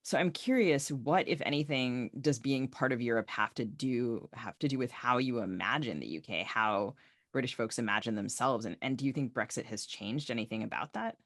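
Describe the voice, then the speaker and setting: A man's voice: medium pitch, normal speed, a bit bright, no echo, studio quality. A man hosting a podcast and asking questions in a conversational way. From United States. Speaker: podcast host. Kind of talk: conversational interviewing.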